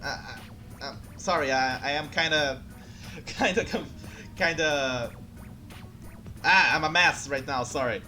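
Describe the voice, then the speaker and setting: A male streamer from Brazil, speaking English, chatting excitably and getting flustered. His voice is bright and forceful, fairly high. Faint game music sits behind him. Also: slow. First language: Portuguese. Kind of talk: live game commentary and chat.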